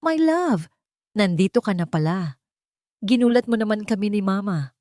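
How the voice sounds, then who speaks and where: A woman's voice: medium pitch, animated, moderate pace, dark tone, dry recording, studio quality, pitched above the performer's own voice, close to an everyday voice. A woman with a Filipino accent voicing a cruel, two-faced girlfriend in an audio story, haughty and theatrical. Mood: falsely sweet. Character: cruel, two-faced young girlfriend scolding or sweet-talking. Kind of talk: audio story character acting.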